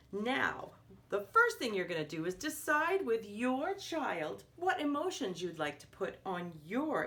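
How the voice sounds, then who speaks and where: Expressive low-voiced woman teaching a children's craft. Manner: simple patient explaining, often refers to herself in third person. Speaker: children's storytime presenter. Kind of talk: step-by-step craft instruction for parents of young children.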